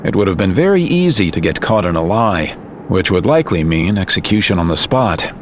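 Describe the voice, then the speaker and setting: A man narrating a scripted audio drama in a serious, measured storytelling manner. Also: low voice, big pitch swings, slight background noise.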